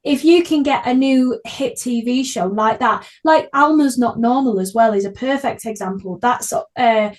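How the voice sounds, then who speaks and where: Female podcast host, higher-pitched voice, casual and chatty, openly effusive. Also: moderate pace, hardly any pauses.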